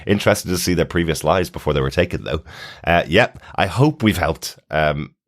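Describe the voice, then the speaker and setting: A man's voice: low-pitched, expressive, moderate pace. A man co-hosting a casual TV review podcast, chatting conversationally and reading out feedback from listeners. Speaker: podcast co-host.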